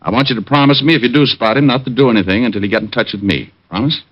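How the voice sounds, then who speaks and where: An American actor playing a cocky, wry private detective in an old radio drama. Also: male voice, mid-range voice, racing, close to an everyday voice. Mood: friendly, wry. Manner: wry, bantering, deadpan teasing. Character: wisecracking, cocky male private detective, former cop. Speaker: lead actor and narrator. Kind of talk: 1940s-50s radio detective drama acting. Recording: dull vintage radio recording.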